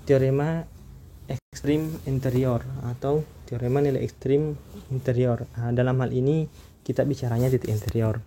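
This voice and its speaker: A man giving a recorded math lecture, explaining step by step in a measured way and pausing often on fillers. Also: mid-range voice, fairly even intonation, mellow, slight background noise.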